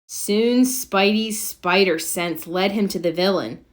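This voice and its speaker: A woman narrating a children's storybook aloud with expressive, deliberate phrasing. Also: medium pitch.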